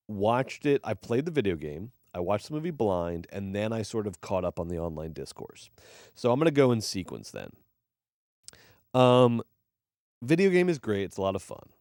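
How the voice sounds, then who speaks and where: Man, lowish voice, very animated delivery, blunt sweary film reviewer, casual podcast chat. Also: normal speed, no room sound, studio quality. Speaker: podcast co-host reviewing films.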